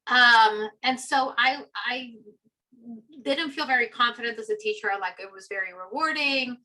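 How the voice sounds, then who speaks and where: A woman's voice: on the high side, moderate pace, bright, forceful tone, low-quality recording. A middle-aged woman from Peru, a philosophy professor, chatting reflectively about her path with many fillers. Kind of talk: conversational personal story.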